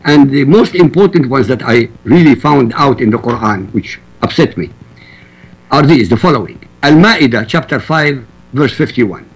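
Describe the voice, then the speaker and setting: A man's voice: medium pitch, animated, moderate pace, breathy edge, poor audio. A man from Iraq whose first language is Arabic, arguing emphatically as a guest in a roundtable debate. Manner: forceful, emphatic quoting. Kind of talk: debate argument.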